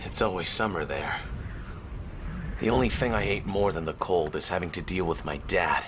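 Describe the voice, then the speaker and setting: Low-voiced talkative soldier, played by a man. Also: moderate pace, noisy background, close to an everyday voice. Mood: grumbling. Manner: chatty, complaining. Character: grumbling, talkative, easygoing male soldier. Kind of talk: radio drama acting.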